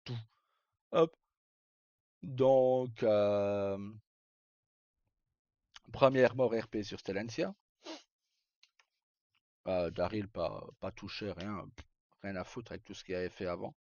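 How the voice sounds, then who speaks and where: Male streamer, relaxed dark tone, colloquial rambling storyteller, slightly muffled audio. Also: fairly low pitch, slow pace, long pauses, no echo. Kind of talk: casual live chat, recounting roleplay stories.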